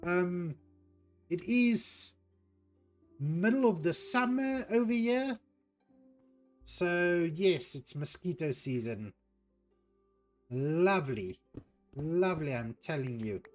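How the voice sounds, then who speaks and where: A man from South Africa with an Afrikaans accent, a native Afrikaans speaker, chatting casually as a streamer, unhurried and hesitant with many ums. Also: sits high, expressive, faint music behind. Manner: unhurried, hesitant, with frequent ums while reading out chat. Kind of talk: casual chat while playing a game.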